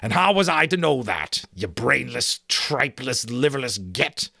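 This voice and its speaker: Hot-tempered giant brigand, performed by a male reader: rustic, blustery, pushed up in pitch, dry close recording. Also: very animated, measured pace, gravelly, pushed and bright, husky, no room sound, exaggerated voice. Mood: furious. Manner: blustering, old-fashioned rustic turns of speech.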